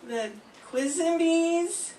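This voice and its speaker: A woman's voice: very high voice, extremely slow, warm tone, a little hiss or hum, exaggerated voice. An older woman playing a naive, scatterbrained young woman reporter with wide-eyed gasps in an amateur radio drama reading. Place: classroom. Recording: echoey room, far from mic.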